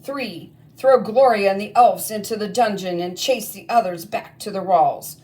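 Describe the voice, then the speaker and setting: A woman's voice: mid-range voice, normal speed, dark tone, natural voice, low-quality recording. A woman voicing a tyrannical witch headmistress who bellows and shrieks her orders, in a storybook reading. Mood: commanding.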